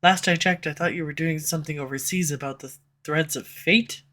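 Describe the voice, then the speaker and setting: Woman doing a snarky villain, bright edgy voice, sarcastic teasing all the way through. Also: deep voice, fairly quick. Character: snarky sharp-tongued villain, slightly tsundere. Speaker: audio roleplay voice actor. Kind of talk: scripted audio roleplay. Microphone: USB condenser mic.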